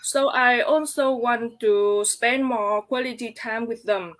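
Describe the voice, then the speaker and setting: Woman from Vietnam hosting a live video call, very high voice, slow hesitant delivery. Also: a bit bright, slight background noise, low-quality recording. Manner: hesitant, many fillers. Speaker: host. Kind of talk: live online interview.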